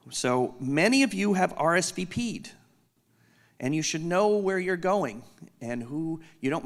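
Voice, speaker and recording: A man with a loud voice giving an unscripted conference keynote from a stage, candid and self-deprecating with joking asides. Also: a little high. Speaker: company executive giving a keynote.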